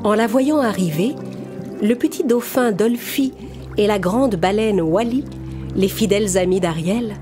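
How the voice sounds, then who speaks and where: Lady reading a kids cartoon story, steady voice, music loud behind. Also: medium pitch, a little slow. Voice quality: clear, even storytelling. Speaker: narrator. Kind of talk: scripted storybook narration.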